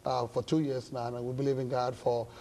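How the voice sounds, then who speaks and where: Ghanaian guy, middle aged pastor, warm dark voice, picks his words slowly in an interview, bit of background noise. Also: mid-range voice, little pitch movement, vocal fry. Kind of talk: studio interview, measured explanation. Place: indoor television studio set. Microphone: lapel mic.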